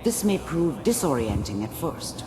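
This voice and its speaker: A woman's voice: low voice, big pitch swings, a little slow, reverberant, in a large hall, music in the background. A woman playing a composed scientist in a video game, guiding with clinical care. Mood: guiding calm. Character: composed female scientist guiding a patient through a procedure.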